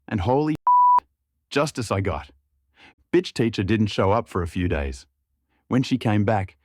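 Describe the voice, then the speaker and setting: A man narrating an online story in an even, scripted reading tone. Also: fairly low pitch, dry recording, studio quality.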